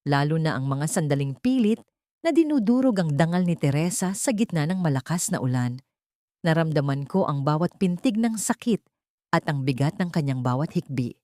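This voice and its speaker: Low-voiced Filipino woman narrating a story. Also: darkish tone, no echo, studio quality. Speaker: audiobook narrator. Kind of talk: scripted dramatic story narration.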